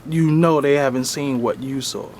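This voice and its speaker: A man's voice: mid-range voice, normal speed, a little mellow. A man hosting a casual hip-hop interview in a recording studio, asking questions in a laid-back, slangy way. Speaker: interviewer and host.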